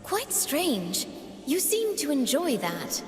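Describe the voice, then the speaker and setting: Game role: high-voiced living doll girl, sweet yet defiant, delicate delivery, long reverb over prominent music. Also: huge pitch swings, slow pace, full character voice. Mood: polite, cutting. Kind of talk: video game character voice lines.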